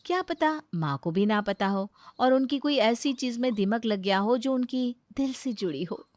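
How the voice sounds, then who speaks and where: A woman's voice: on the high side, animated, moderate pace, no echo. A woman reading a scripted family story as a dramatizing narrator.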